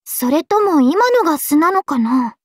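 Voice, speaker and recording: A woman's voice: high voice, very animated, measured pace, no room sound, studio quality. A woman playing a friendly, thoughtful young girl mage in an anime game, musing in a casual way.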